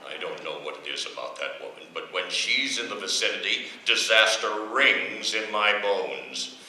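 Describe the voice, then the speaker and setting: A man's voice: fairly low pitch, expressive, normal speed, a crowd in the background, close to an everyday voice. A man playing a pompous, irritable middle-aged American father in old-time radio comedy style, with theatrical, emphatic diction. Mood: pompous irritation. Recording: echoey, faintly noisy stage recording.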